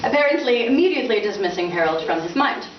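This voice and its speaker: A woman author giving an expressive, lightly dramatized live reading of fiction. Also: on the high side, fast, far from the mic. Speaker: author reading from their novel. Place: indoors in a bookstore. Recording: muffled, echoey, noisy room from afar.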